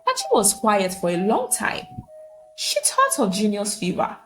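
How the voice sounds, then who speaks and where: A woman's voice: medium pitch, huge pitch swings, measured pace, soft background music. A woman storyteller narrating a scripted folktale.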